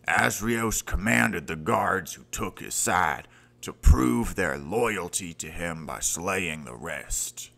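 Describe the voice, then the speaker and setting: Deep, gravelly old fantasy warrior. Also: male voice, pushed and bright, exaggerated voice. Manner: boisterous, old-fashioned grandiose phrasing, calls others laddie. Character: gruff, hearty older warrior rebel, man, boisterous yet secretly grieving. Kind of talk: scripted fantasy audio drama acting.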